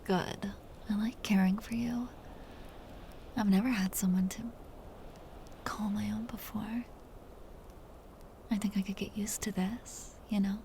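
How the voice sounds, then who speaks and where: Vampire countess played by a woman: possessive yet doting, breathy close-up whisper with a creaky edge, mellow and soothing, intimate ASMR roleplay. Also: medium pitch, steady tone, slight background noise. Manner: slow, soothing, teasing endearments.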